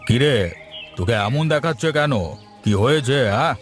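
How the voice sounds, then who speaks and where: A man plays a suspicious village boss in a moral-story cartoon. He is mid-pitched, impatient and commanding, firing questions. Quiet music is underneath. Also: moderate pace, lightly acted. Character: suspicious, bossy village master.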